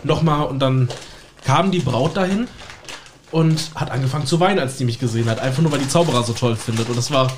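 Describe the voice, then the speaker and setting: Male podcast guest from Erfurt, mid-pitched, casual chat, faint background noise. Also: quick pace. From Erfurt, eastern Germany. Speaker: podcast guest, stage magician.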